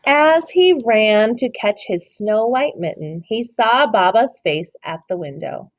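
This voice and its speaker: Brief: woman teacher, read-aloud to a kid, animated pitch, gentle, encouraging. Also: takes it slowly. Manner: gentle, encouraging, dramatic storytelling. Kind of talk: children's read-aloud with questions.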